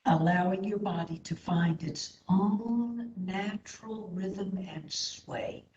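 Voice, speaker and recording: A woman leading a guided meditation as an instructor, speaking in a soothing, gentle, unhurried manner. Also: mid-range voice, steady tone. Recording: echoey room, slightly dull sound.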